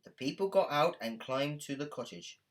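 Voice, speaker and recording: A woman narrating a children's story from a script in an expressive, deliberate way. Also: low-pitched. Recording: music under the voice. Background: music.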